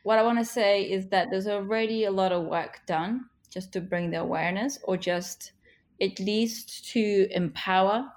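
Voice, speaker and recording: A woman in her thirties, a native speaker of Russian and Ukrainian, answering interview questions in an earnest, encouraging way with slow, emphatic phrasing. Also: medium pitch. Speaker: guest, author and business leader.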